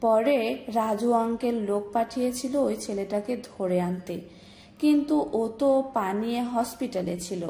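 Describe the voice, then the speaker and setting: A woman's voice: fairly high pitch, normal speed, mellow, close to an everyday voice. A woman playing a polite, respectful young village woman who speaks frankly in an audio drama. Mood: earnest, explaining. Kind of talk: audio-story dialogue acting. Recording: slightly roomy recording.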